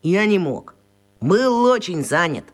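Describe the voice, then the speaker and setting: An actor in a children's radio play voicing a naive, earnest schoolboy with a guileless, excitable manner. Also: mid-range voice, slow.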